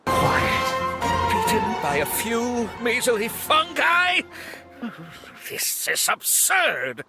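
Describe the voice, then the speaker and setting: Haughty villain schemer, high male voice, very animated, sneering, theatrical, defiant, creaky, video game. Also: very slow, over music, full character voice. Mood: scornful.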